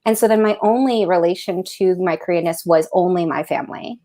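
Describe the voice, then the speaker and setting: A woman who grew up in Central Florida talks on a video call as an author panelist. She talks in an animated, upbeat way and laughs often. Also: lowish voice, brisk. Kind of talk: casual panel discussion.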